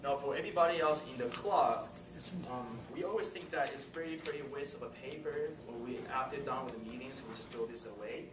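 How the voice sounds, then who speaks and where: A man chats through club announcements in a friendly, easygoing way, laughing between remarks. He sits far from the mic in an echoey meeting room. Steady room noise fills the background. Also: mid-range voice, brisk. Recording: roomy, distant mic, loud room noise.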